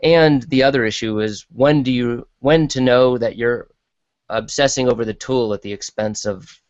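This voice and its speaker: A man in a casual chat as a podcast guest, talking in a rambling, halting way and often interrupting himself. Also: mid-range voice, dry recording, highs cut off.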